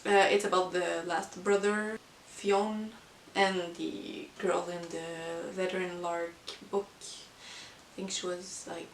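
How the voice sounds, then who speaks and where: A woman who is not a native English speaker, chatting casually about books as a vlogger in a halting, hesitant way. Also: lowish voice, level tone. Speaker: book vlogger. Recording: roomy, distant mic with hiss.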